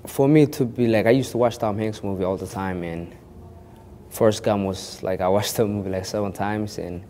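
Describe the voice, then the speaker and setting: A man's voice: on the low side, moderate pace, warm tone, big-room sound, slight background noise. A young man born in Kenya of a Somali family, telling his story in an interview with lots of restarts and "you know". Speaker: actor interviewed.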